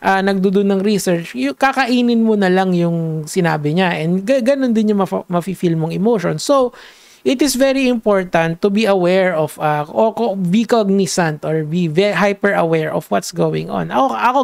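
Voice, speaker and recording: High, gravelly Filipino man. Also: darkish tone, dry recording. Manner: switches between Tagalog and English, rambling and lecturing. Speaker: online commentator. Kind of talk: casual reaction vlog commentary.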